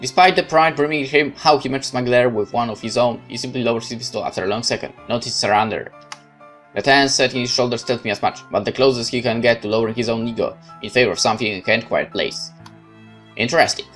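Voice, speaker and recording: A man narrating a horror visual novel in first person, calm, measured and brooding. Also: mid-range voice, faint music behind. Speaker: let's play host narrating a visual novel.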